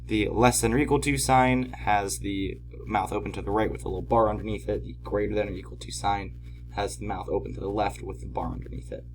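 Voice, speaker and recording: A man teaching in an informal tutorial style, patiently explaining step by step, his voice slightly hoarse from a cold. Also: fairly low pitch, fairly even intonation, fairly quick, slight background noise, low-quality recording. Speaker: math teacher. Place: at home, at a desk.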